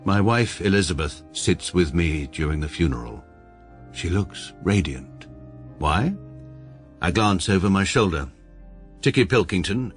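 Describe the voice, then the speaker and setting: Deadpan english lawyer narrating, very deep voice, faint music behind. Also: male voice. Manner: dry, precise, deadpan. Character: Robert, stiff, dry barrister narrator. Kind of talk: radio drama acting.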